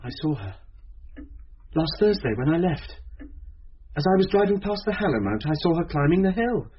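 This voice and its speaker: A man's voice: a little high, sing-song, normal speed. An actor playing a suspicious, questioning younger man with a British accent in a radio drama. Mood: concerned. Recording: muffled old radio recording, hiss and clicks.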